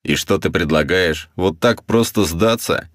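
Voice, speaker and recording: A man's voice: deep voice, unhurried, fairly bright tone, no room sound, clean studio sound. A man voicing a drunk, guilt-ridden, blunt army colonel for an audiobook. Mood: resigned. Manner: blunt, swearing, self-reproaching. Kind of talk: audiobook character voice.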